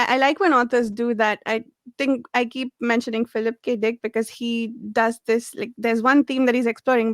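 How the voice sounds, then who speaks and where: Higher-voiced woman chatting about books, dry sound. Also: no echo. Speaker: book discussion panelist. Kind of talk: casual book discussion.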